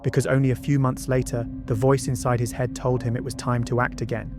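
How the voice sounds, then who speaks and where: A man's voice: medium pitch, flat delivery, a little fast. A man narrating a true-crime documentary from a script, with conversational asides. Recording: music bed behind the voice. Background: background music.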